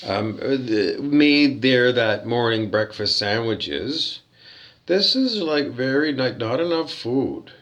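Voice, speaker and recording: A man ranting in a rambling, hesitant way, repeating himself and swearing when riled. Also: on the high side. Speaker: hotel guest reviewing his loot bag. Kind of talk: rambling complaint rant. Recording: dull lo-fi recording.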